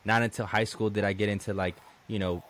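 Low-voiced man hosting a podcast. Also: level tone, fairly quick, fairly bright tone. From Colombia. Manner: conversational, many restarts and "man". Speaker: podcast host. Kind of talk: casual interview chat.

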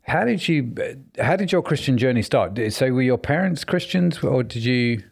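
A man hosting a podcast, chatting in a friendly, teasing way with quick restarts. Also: mid-range voice, animated, vocal fry. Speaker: podcast host. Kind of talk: casual interview chat.

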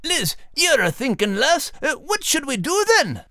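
A man voicing a folksy, teasing Scottish dog with gravelly speech and broad dialect. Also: very high voice, sing-song, unhurried, bright, forceful tone, no echo, studio quality, exaggerated voice. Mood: puzzled. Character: plain-spoken Scottish male dog, podcast host.

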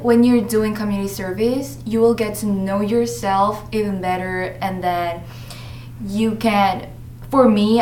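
Earnest teenage girl from South Korea. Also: mid-range voice. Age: teens. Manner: earnest, slightly halting English. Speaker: student guest. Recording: echoey room, faint noise.

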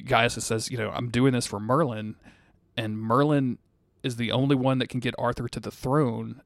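A man with a slightly low, gravelly voice, recorded close and dry. He talks as a podcast co-host in a casual recap, lively in manner, with plenty of slang and swearing. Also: dry recording. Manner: animated, slangy, profane.

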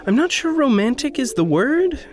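A man's voice: very high voice, very animated, normal speed. An actor playing an awkward, earnest, reserved young man in a fiction podcast, speaking naturally with hesitant, careful, formal wording. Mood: awkward, unsure.

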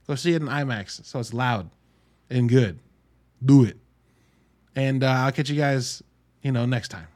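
A man hosting a casual solo movie review podcast, talking in an informal, slangy, emphatic way with some swearing. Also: mid-range voice. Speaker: podcast host.

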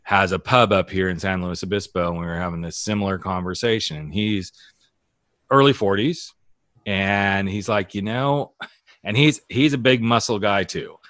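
A middle-aged man with a low voice chats as host, candid and animated. His stories slide into the voices of the people he quotes. The sound is close and dry, with no room around it. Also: moderate pace, dry recording. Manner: candid, animated storyteller who acts out quoted dialogue. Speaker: podcast host and author. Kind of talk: casual conversation. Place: US West Coast.

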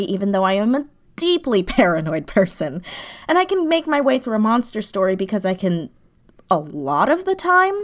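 Female interview guest, mid-pitched. Casual, animated, self-deprecating, laughs easily. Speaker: interview guest, audio drama writer and performer.

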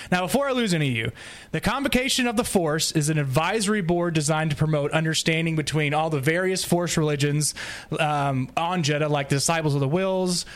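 A man's voice: a little high, a bit bright. A man presenting on a podcast, reading a prepared plot summary with informal asides and the odd stumble.

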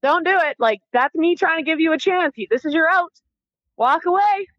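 A Canadian-accented woman telling stories casually as an interview guest, animated and informal, acting out bits of dialogue as she goes. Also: very high-pitched, normal speed, no room sound, muffled recording. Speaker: interview guest, former Olympic athlete. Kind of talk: casual conversational storytelling.

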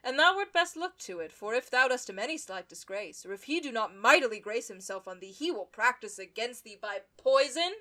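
A woman playing an envious, scheming young nobleman in a Shakespearean radio play, with a theatrical, insinuating, deliberate delivery. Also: fairly high pitch, expressive, pushed and bright. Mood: venomous, urgent.

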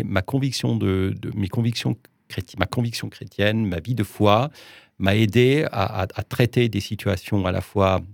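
Low gravelly Alsatian-born man. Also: darkish tone, dry recording, clean studio sound. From Alsace, France. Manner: measured, hesitant, many restarts. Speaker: interviewee, business executive. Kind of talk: interview conversation.

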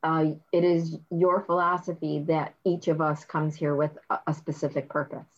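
A woman interviewer with a somewhat low voice asks probing questions and rephrases them mid-sentence. Her audio sounds dull and muffled. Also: flat delivery, moderate pace.

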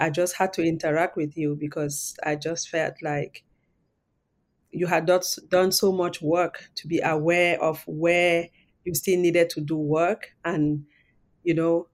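A woman hosting a podcast interview, asking questions in an encouraging way. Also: sits low. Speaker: podcast host.

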